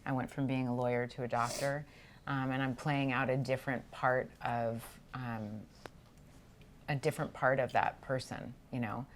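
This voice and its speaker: Very low-voiced thoughtful woman. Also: flat delivery, normal speed, slight background noise. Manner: thoughtful, hesitant with many ums. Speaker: actress guest. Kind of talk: casual interview chat.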